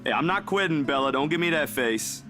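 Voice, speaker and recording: A man's voice: a little high, lively intonation, fairly quick, over music, natural voice. A man acting a downhearted but sincere young man in a visual novel, speaking casually and a little hesitantly. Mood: sincere, reassuring.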